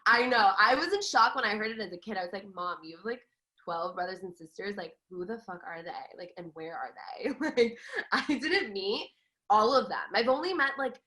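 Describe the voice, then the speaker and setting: A woman's voice: mid-range voice, normal speed, bright, forceful tone. A young woman from Los Angeles, a podcast guest who spoke Farsi first, chatting casually with lots of fillers and laughs. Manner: chatty, laughs often, many fillers and restarts. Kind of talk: casual chat.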